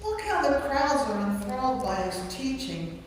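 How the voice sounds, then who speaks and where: A woman's voice: high voice, big pitch swings, measured pace. A woman acts out followers and temple officials from scripture in a staged reading. She sounds far from the microphone, washed in heavy echo and long reverb over faint noise. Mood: wary, scheming. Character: scripture crowd member, disciple and scheming temple official. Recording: distant, echoey church, faint noise.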